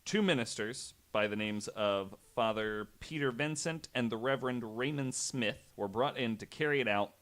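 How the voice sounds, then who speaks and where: A man's voice: medium pitch, a bit bright. A man hosting a podcast, telling a true story in a conversational way with wry, incredulous asides. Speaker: podcast host.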